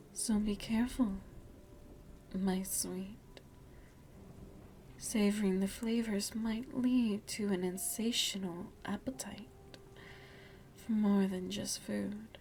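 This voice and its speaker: A woman playing a seductive, aristocratic vampire lady in an ASMR roleplay, speaking intimately and formally with a breathy, often whispering voice and teasing, veiled menace. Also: mid-range voice, mellow, slight background noise.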